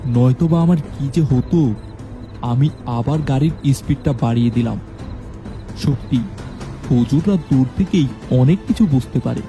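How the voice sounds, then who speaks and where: A man narrating a scripted first-person horror story in a steady, measured way. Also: medium pitch.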